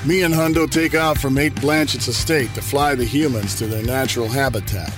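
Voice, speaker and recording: A man's voice: mid-range voice, fairly even intonation, a little fast, over music, natural voice. A man playing a sentient robot transport vehicle, dry, cynical and formal, in a reality-show parody audio comedy. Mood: matter-of-fact.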